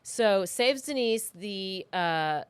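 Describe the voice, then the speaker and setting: A woman's voice: mid-range voice, animated, takes it slowly, clear, resonant tone, no room sound. An American woman hosting a podcast, telling a true-crime story in a chatty, informal way peppered with "like". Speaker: podcast host.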